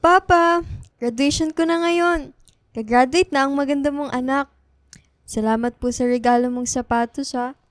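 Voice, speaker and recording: Woman playing a high-voiced affectionate daughter. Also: fairly even intonation, moderate pace, no room sound, clean studio sound, lightly acted. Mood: tender. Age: young adult. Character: affectionate, dutiful college-age daughter and teased sister. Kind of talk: radio drama acting. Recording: music behind the voice.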